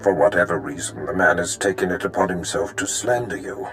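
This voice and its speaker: An actor playing a calculating, imperious Sith master in a video game, speaking in a measured, formal way. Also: male voice, low voice, a bit bright, soft background music. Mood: cold, commanding. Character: menacing Sith master, calculating, imperious older male villain. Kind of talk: cinematic game voice acting.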